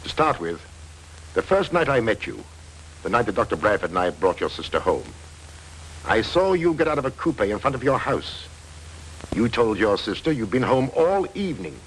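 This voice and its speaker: A dogged, wry 1940s detective. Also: male voice, lowish voice, very animated, moderate pace, a little hiss or hum, rough recording. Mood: calm. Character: dogged middle-aged male investigator, wry and persistent. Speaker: detective narrator. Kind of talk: 1940s film noir narration.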